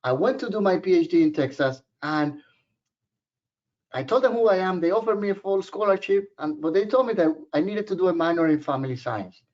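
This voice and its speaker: A man's voice: sits high, animated, brisk, darkish tone. Puerto Rican-accented man presenting a webinar. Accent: Puerto Rican Spanish. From Puerto Rico. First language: Spanish. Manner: informal, joking, personal storytelling. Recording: muffled lo-fi webinar audio.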